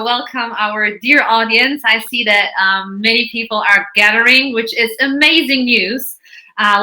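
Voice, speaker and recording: Panel host, woman, bright and enthusiastic, video-call sound. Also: fairly high pitch, low-quality recording. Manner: upbeat, enthusiastic, warm welcome. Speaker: panel moderator. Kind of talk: online panel hosting.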